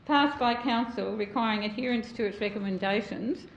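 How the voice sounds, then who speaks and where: A woman asking council pointed questions in a loud, direct manner at a public meeting. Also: mid-range voice, normal speed, gravelly, a little hiss or hum. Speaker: community member asking questions. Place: council chamber. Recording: echoey muffled council chamber.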